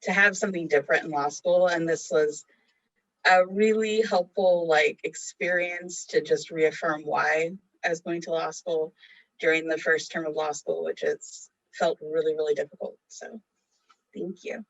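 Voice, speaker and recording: A young American woman giving an unscripted acceptance speech in a hesitant, soft-spoken way. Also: deep voice, steady tone, moderate pace, dull audio. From Oregon, United States. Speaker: first-year law student accepting an award.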